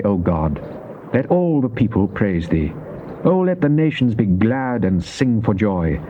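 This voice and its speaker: A man giving a solemn, theatrical, declamatory dramatized reading of scripture. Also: fairly low pitch. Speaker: Bible reader. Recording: dull, lo-fi cassette with faint noise.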